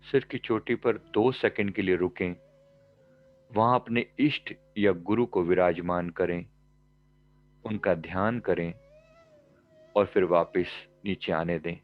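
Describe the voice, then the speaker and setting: A man leading a guided meditation as a teacher, giving calm, measured instructions in short phrases with pauses between them. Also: low voice, narrow, tinny audio. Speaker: meditation teacher and life coach.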